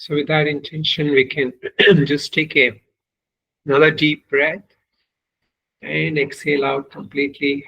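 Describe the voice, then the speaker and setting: A man leading a guided meditation as a teacher, giving measured, unhurried instructions with long pauses. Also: on the high side, level tone, gravelly, sharp tone, dull audio, a little hiss or hum.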